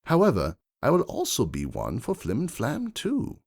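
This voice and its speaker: Male reader as progressive noble stallion, assured, emphatic and cutting, storybook drama, hugely varied intonation, some gravel. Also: medium pitch, huge pitch swings, normal speed, voice pushed up, heavily acted. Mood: cool, firm. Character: self-assured wealthy gentleman stallion, progressive, defiant. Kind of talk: dramatic first-person fan fiction reading.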